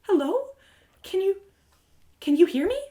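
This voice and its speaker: A woman voicing an excitable, adoring adventurer girl in a story, gushing and giggly as she coos. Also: very high voice, measured pace, voice pushed up, exaggerated voice. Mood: amazed delight. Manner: gushing, giggly, breathless wonder. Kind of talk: animated storybook character acting.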